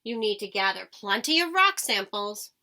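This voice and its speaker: A woman's voice: mid-range voice, very animated, normal speed, pushed and bright. A woman voicing an astronaut crew member giving emphatic instructions in a children's storybook. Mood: instructing.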